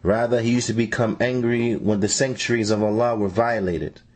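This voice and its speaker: A man reading out a translated religious lecture in a measured way as a translator. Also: lowish voice, steady tone, darkish tone. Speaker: translator reading an Islamic scholar's answers.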